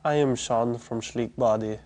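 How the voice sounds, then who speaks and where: Man playing a deadpan, self-important keyboardist. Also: medium pitch, measured pace, loud music behind the voice, close to an everyday voice. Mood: solemn, deadpan. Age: young adult. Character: solemn, self-important eccentric young keyboard player. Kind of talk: deadpan mockumentary interview. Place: indoor lounge.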